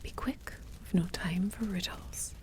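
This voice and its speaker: A woman voicing a bold, impatient young Irish adventurer in a soft whisper with an Irish accent. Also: lowish voice, a little slow, natural voice. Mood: brisk.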